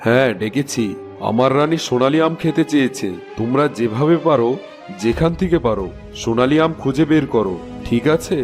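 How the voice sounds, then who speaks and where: A man's voice: mid-range voice, brisk, soft background music, close to an everyday voice. A man voicing a caring but commanding king in a Bangla cartoon fairy tale.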